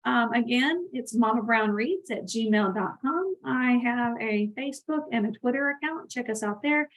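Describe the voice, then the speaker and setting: A woman host reading a picture book aloud expressively, talking directly to young listeners in an animated way. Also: fairly high pitch, moderate pace, poor audio. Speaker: read-aloud channel host.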